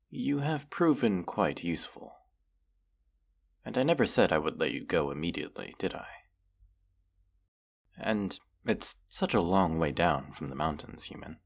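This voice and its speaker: A man's voice: low-pitched, gravelly, exaggerated voice. A man voicing a proud, mighty dragon in a fantasy roleplay, haughty and formal in manner. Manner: haughty, deliberate, formal phrasing with menacing undertone. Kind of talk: fantasy roleplay audio acting.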